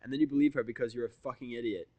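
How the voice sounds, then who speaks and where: A man's voice: mid-range voice, steady tone, racing, dry recording, dull audio, lightly acted. Guy playing cocky sweary human in a fanfic drama, sarcastic, argumentative, dry recording, normal mid voice. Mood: angry. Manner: brash, sarcastic, confrontational. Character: defiant foul-mouthed human man, bluffing and scolding.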